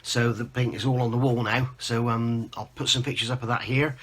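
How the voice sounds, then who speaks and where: Croaky man giving a casual hobby update. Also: mid-range voice, level tone, a little fast, a bit bright. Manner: chatty, informal, rambling show-and-tell. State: croaky, recovering from losing his voice. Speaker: host of a scale modelling channel.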